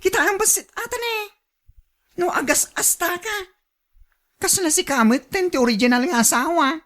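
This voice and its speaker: A woman's voice: very high-pitched, normal speed, fairly bright tone. A woman playing a frank, scolding female confidante in a radio drama, matter-of-fact, with an Ilocano accent. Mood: chiding.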